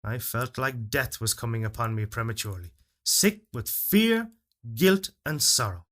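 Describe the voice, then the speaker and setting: A man reading a literary fairytale as narrator, in a solemn, measured and expressive storytelling delivery. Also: medium pitch. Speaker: story reader. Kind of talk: dramatic literary narration of a first-person fairytale.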